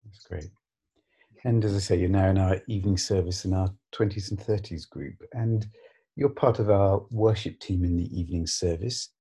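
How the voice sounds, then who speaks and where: A man's voice: sits low, steady tone, normal speed, warm tone, breathy edge. A middle-aged man hosting a casual church podcast interview, asking questions and adding short reflections.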